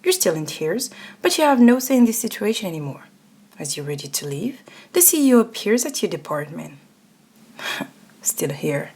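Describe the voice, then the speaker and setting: A woman's voice: medium pitch, big pitch swings, brisk, a little mellow, husky. A woman reading a scripted second-person ASMR story as its narrator. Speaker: narrator and voice actor.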